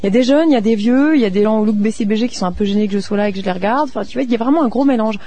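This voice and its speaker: A woman's voice: on the high side, racing, dark tone. A woman from France hosting radio, telling her reporting stories in an informal, chatty way straight to the listener. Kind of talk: casual spoken storytelling and interviewing.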